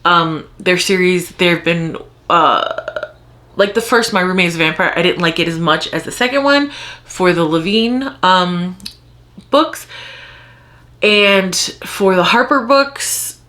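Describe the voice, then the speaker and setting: A woman chatting casually to viewers as a book vlogger, thinking aloud and interrupting herself in an expressive, rambling way. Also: on the low side, slow. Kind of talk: casual chat to viewers, answering tag questions.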